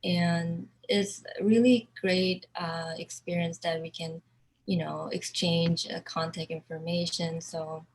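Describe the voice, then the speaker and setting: Slow, halting woman from South Korea. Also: lowish voice, little pitch movement, slight background noise, rough recording. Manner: halting, searching for words. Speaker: artist. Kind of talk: virtual artist talk.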